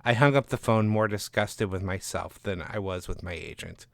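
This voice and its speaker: Lower-voiced man narrating, dry recording. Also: normal speed, vocal fry, no echo. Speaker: author and narrator. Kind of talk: scripted first-person story narration.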